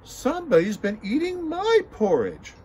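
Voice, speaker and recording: A man's voice: extremely high pitch, wildly swooping pitch, measured pace, darkish tone, a little hiss or hum, pitched above the performer's own voice, full character voice. A man voicing a mama bear in a children's story with a raised, prim delivery. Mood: indignant. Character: mother bear, middle-sized and prim.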